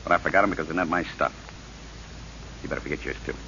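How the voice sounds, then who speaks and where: Deep-voiced tough gambler, old radio. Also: male voice, quick pace, vocal fry, bright, forceful tone, heavily acted. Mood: brusque. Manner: clipped slangy tough-guy talk. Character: tough, cocky, slangy male gambler with an evasive streak. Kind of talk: 1930s-40s radio drama acting. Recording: hissy, muffled old radio broadcast recording.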